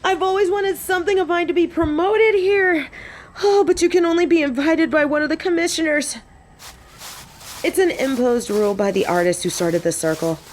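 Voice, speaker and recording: A young woman playing an excitable, sassy biker and machine nerd on a date in a romantic audio roleplay. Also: moderate pace, a little hiss or hum. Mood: gushing, excited. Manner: gushing and teasing, swinging between squeals and low deadpan. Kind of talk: romantic audio roleplay, scripted monologue to an unseen listener.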